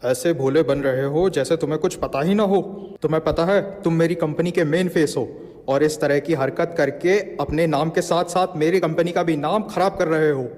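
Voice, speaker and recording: A man's voice: fairly high pitch, normal speed, a little mellow, natural voice. An Indian man acting a stern, scolding older father or boss in a social-awareness drama. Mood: cold, stern. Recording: roomy hall with long reverb.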